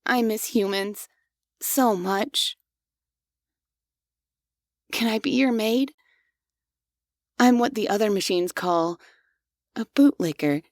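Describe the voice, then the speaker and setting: A woman voicing a creaky, obsessive maid robot. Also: medium pitch, no room sound, clean studio sound. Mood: eager, obsessive. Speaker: ASMR roleplay performer. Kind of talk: scripted ASMR audio roleplay.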